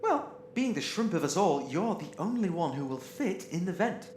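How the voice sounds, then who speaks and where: A man's voice: high voice, sing-song, moderate pace, darkish tone. Echoey, sarcastic, bossy crewman acted by a man. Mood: sarcastic, needling. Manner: sarcastic, emphatic, badgering. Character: bossy, sarcastic, needling male spaceship crewman. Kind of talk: scripted sci-fi audio drama acting. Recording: echoey room with faint noise.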